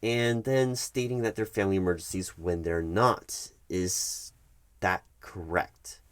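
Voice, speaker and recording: Man playing a low-voiced, halting HR manager. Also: steady tone, slight background noise. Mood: measured, concerned. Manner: formal, halting, repeats words for emphasis. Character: stern, patient male HR manager reprimanding an employee. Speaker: recruiting HR manager. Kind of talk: one-sided ASMR roleplay of a work meeting.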